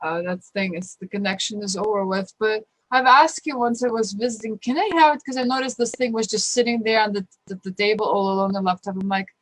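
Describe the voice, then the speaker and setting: A woman from Ukraine with a Ukrainian accent, a guest talking earnestly and warmly about her life, rambling and restarting her sentences. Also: mid-range voice, fast, few pauses, no room sound, low-quality recording. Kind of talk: casual interview talk, personal advice.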